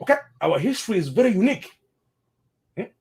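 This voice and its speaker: Animated South Sudanese man, high lively voice. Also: fast, creaky. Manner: animated, repeats words, mixes in Arabic phrases. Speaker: interviewee. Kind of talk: casual spoken commentary.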